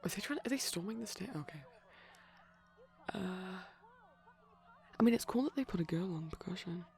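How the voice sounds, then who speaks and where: A soft, breathy ASMR voice at a medium pitch, with plenty of creak in it. The speaker rambles and explains like a shy, geeky boyfriend watching a film, often sinking into whispers. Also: animated, moderate pace. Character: shy pastel vampire boyfriend, gently geeky. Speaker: ASMR performer, playing a shy boyfriend character. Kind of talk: soft rambling ASMR commentary over a movie. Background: TV playing in the background.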